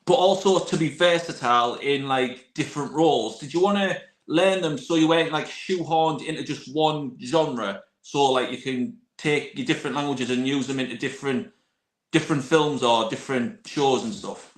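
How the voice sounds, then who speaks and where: A man's voice: mid-range voice, moderate pace. A man hosting an interview, asking loose, filler-heavy questions. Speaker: interviewer, show host. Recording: echoey room.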